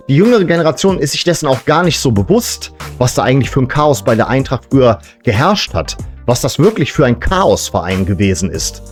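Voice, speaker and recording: Man, medium pitch, casual satirical monologue, tongue-in-cheek, fan show host, music behind. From Serbian family background. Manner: tongue-in-cheek, joking asides.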